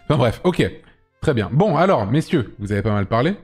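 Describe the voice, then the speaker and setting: A man hosting a casual chat, a little hoarse, teasing and joking with his guests and correcting himself as he goes. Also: medium pitch, very animated, a little fast, darkish tone, soft background music. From France. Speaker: host.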